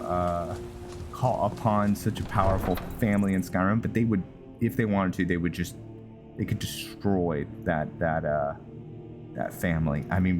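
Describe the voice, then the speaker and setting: Male gameplay host, low register, unhurried and halting, casual role-play commentary with clear music underneath. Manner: halting, musing, trailing off. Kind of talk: casual game roleplay commentary.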